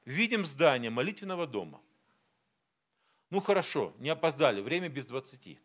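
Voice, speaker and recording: A man's voice: on the high side, moderate pace. A middle-aged man from Siberia, a preacher telling a personal story in a sermon and acting out the dialogue. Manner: storytelling, quoting dialogue, grows animated.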